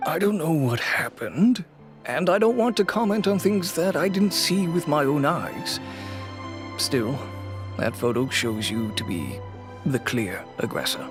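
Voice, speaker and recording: An actor playing a weary middle-aged fashion shop owner and cautious father, speaking in a measured, reluctant way in a video game. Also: fairly high pitch, very animated, over music. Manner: measured, reluctant, lightly sighing.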